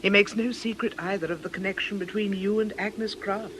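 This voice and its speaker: A man's voice: sits high, steady tone, fairly quick, background noise, natural voice. A male-sounding actor playing a concerned, confiding church companion in a British radio drama, solemn and polite.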